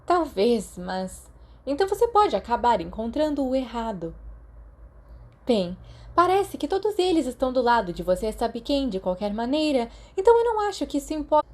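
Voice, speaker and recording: A woman's voice: a little high, sing-song, normal speed, darkish tone, voice pushed up. A thoughtful teenage girl played by a woman reader with a Brazilian accent. Her voice is raised and light, matter-of-fact but wary as she reasons aloud in storybook style. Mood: pensive, wary.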